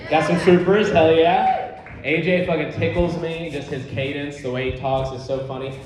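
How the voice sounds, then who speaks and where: A man's voice: fairly high pitch, steady tone, normal speed, heavy reverb, a crowd in the background, rough recording. A man doing a stand-up comedy set in a rambling, profane, conversational way in a live venue. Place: live comedy show, on stage.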